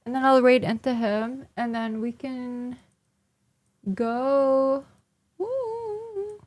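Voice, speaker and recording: A woman's voice: high voice, very slow, darkish tone, no echo. A young woman streamer chatting casually with her viewers, blunt and sarcastic. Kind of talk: casual livestream chat.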